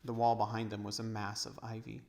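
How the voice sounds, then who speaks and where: Creaky-voiced man reading a storybook. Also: lowish voice, monotone, fairly quick, darkish tone. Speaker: read-aloud narrator. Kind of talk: storybook narration.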